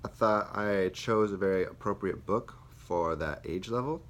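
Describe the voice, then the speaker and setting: A young man, a student teacher, reflecting aloud on a lesson he taught, with many pauses and fillers. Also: lowish voice, fairly bright tone. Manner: hesitant, many ums and restarts. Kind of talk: spoken self-reflection. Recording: faint background noise.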